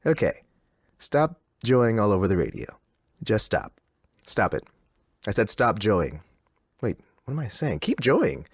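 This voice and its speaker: An actor playing an unsettlingly upbeat, controlling radio DJ, with theatrical patter and erratic, insistent cheer. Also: male voice, lowish voice, wildly swooping pitch, measured pace, pauses often, slightly rough, no room sound, clean studio sound, full character voice. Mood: agitated, insistent.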